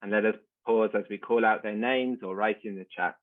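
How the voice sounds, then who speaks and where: A man's voice: fairly low pitch, fairly even intonation, fairly quick. Male service leader reading liturgy, recorded in narrow, phone-like audio. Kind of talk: liturgical reading, prayer leading. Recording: thin phone-like sound.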